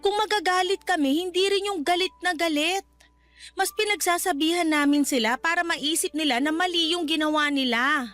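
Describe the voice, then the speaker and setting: A woman's voice: very high voice, normal speed, fairly bright tone, soft background music. A woman playing a young Filipino widowed mother in a radio drama, respectful but firm as she defends her children. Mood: pleading, defensive.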